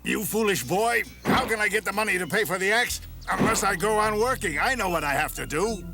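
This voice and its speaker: A man voicing a stern but caring aging woodcutter father in an animated fairy tale. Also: sits high, brisk. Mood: scolding. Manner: scolding, grumbling, fatherly. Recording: music under the dialogue.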